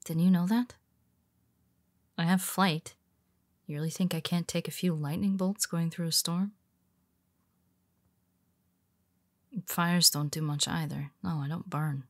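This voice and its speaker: A woman's voice: lowish voice, steady tone, normal speed. A woman playing a powerful, blunt but secretly vulnerable superhero in an intimate audio roleplay, speaking conversationally with hesitant asides. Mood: smug, matter-of-fact.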